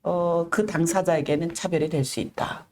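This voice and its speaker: A middle-aged woman speaks in an interview, her voice sitting a little low. She talks in an earnest, measured way, with frequent pauses and careful, deliberate pronunciation. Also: steady tone, dark tone. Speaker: interview guest, activist. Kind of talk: reflective personal storytelling in an interview.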